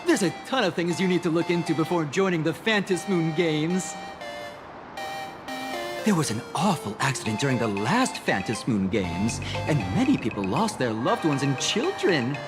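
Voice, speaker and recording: A woman's voice: deep voice, big pitch swings, moderate pace, over music. A woman playing a devout, effusive recruiter for a happiness society in a video game, speaking formally and preachily. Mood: solemn. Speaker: recruiter for a mutual aid society. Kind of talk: theatrical video game dialogue.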